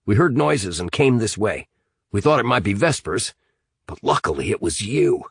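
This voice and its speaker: Audiobook role, male reader: confrontational teenage lad, blunt, scornful, very lively delivery in a dry close recording. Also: lowish voice, moderate pace, breathy edge, dry recording, full character voice. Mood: explaining. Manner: blunt, scoffing.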